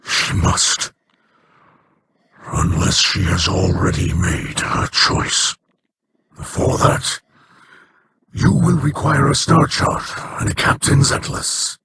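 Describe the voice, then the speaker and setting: A grave alien elder from a sci-fi game who speaks slowly and formally, his pitch rising and falling widely as regret weighs on each line. The game audio carries a clear hiss of noise underneath. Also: very animated, heavily acted. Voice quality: low, measured, formal speech. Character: solemn Eliksni male elder, grave and regretful. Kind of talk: video game dialogue.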